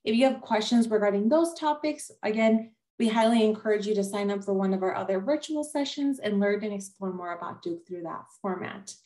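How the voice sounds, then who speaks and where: A woman's voice: medium pitch, animated, a little fast, few pauses, mellow. A woman admissions officer introduces a webinar over a video call. She talks in a friendly, organized way, with frequent "um" fillers between points. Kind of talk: scripted webinar hosting.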